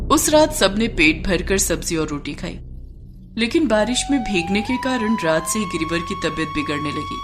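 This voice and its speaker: A woman narrating a scripted moral story. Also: mid-range voice.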